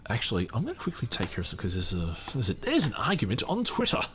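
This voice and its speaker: A man hosting a podcast, giving casual off-the-cuff commentary in a halting way, often muttering asides to himself. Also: lowish voice, huge pitch swings, a bit bright, a little hiss or hum. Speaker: podcast host.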